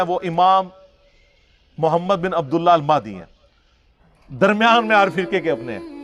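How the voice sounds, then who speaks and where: A man's voice: high-pitched, a bit bright. A Pakistani man giving an informal religious lecture, arguing in a sarcastic, conversational way with rhetorical questions. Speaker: Islamic preacher and lecturer. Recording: music playing behind the voice. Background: music.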